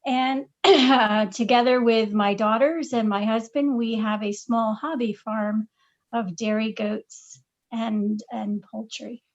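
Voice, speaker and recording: Hesitant middle-aged woman, flat delivery. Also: a little high, slow pace, dull audio. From Massachusetts. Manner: hesitant, many fillers and restarts. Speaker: podcast guest, parent. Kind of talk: casual interview answers.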